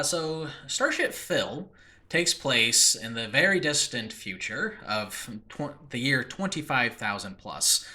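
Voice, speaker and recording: Male, American, podcast host. Bright, punchy tone, mid pitch, casual rambling delivery with lots of conversational asides. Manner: rambling, informal, frequent "you know" asides. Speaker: podcast host and audio drama creator. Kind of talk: casual solo podcast talk. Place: room in a new apartment, makeshift recording space.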